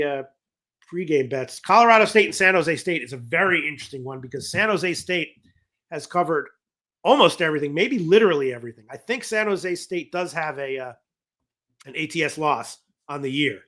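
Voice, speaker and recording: A man hosting a sports betting talk show, rambling and correcting himself as he reads viewer comments, his voice going hoarse. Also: a little high, bright, forceful tone. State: losing his voice. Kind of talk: casual betting talk on a livestream.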